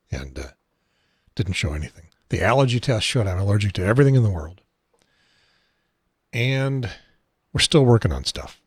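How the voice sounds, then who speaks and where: Host, male, 70, American. Husky, somewhat low voice, dry close sound, halting unscripted delivery. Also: dry recording. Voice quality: husky, unsteady voice. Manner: rambling, halting, wry. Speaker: talk show host. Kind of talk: casual unscripted solo talk show.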